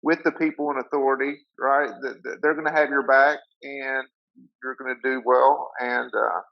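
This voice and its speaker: Gravelly easygoing man with slight stutter. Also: medium pitch, fairly even intonation, moderate pace. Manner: easygoing, encouraging. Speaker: panelist giving advice. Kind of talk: casual, informal advice. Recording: dull lo-fi online call audio.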